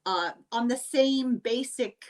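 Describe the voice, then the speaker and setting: A woman's voice: fairly high pitch, takes it slowly. A woman hosting a casual interview, asking and interjecting in an informal, inquisitive way. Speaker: interviewer, podcast host.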